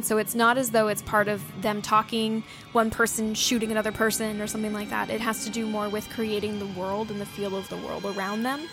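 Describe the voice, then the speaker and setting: A woman co-hosting a podcast, explaining things casually and chattily with plenty of examples. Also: medium pitch. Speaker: podcast host and audio drama writer. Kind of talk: casual explanatory talk with co-host.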